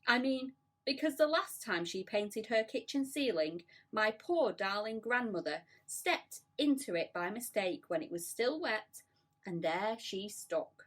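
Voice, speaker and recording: A woman's voice: mid-range voice, lively intonation, unhurried, a bit bright. A woman voicing a melodramatic female spider in a storybook, mournful and emphatic as she recalls sad memories. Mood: dramatic, mournful.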